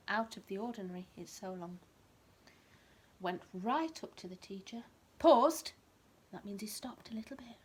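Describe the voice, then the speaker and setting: A woman with a mid-pitched voice reads a children's story in an expressive, teacherly way. She speaks directly to a small child and keeps her wording simple. There is clear ambient noise behind her. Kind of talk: animated storybook read-aloud with asides explaining words.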